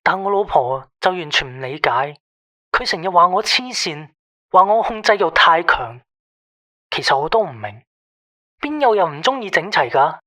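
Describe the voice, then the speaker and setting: An actor playing a controlling, aggrieved husband who rambles repetitively as a late-night caller in a radio drama. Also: male voice, fairly high pitch, very animated, normal speed, pushed and bright. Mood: aggrieved, fretful. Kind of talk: Cantonese radio drama acting.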